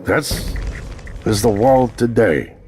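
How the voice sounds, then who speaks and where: An actor playing a weary, grave lead scientist in a video game, speaking deliberately and earnestly. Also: male voice, fairly low pitch, expressive, darkish tone, a little hiss or hum. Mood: earnest, solemn. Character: weary, grave male scientist leading an experiment. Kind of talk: video game cutscene dialogue.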